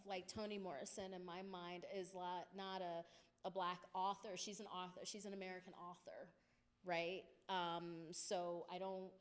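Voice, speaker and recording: A woman speaking on a college panel, talking thoughtfully with many "you know" fillers. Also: fairly low pitch. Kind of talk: panel discussion. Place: college lecture hall.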